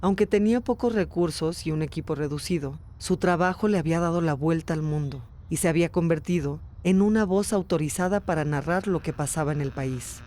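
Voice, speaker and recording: A woman's voice: sits low, a little fast, few pauses, warm tone, slight background noise. A woman hosting and narrating a documentary podcast in a scripted storytelling style. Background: music under the voice.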